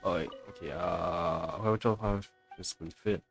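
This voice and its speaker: A man's voice: low-pitched, steady tone, fairly quick, creaky. A man streaming a video game, casually reading on-screen text aloud and reacting with the odd curse. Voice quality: casual, swears. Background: faint game music.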